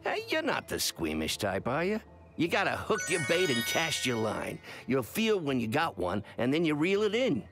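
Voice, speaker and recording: An actor playing a gruff but kindly old fisherman in a video game, folksy and clipped in his speech. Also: a little high, huge pitch swings, normal speed, music in the background. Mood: folksy, instructive. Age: older. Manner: folksy, clipped words, cautionary. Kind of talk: video game character dialogue.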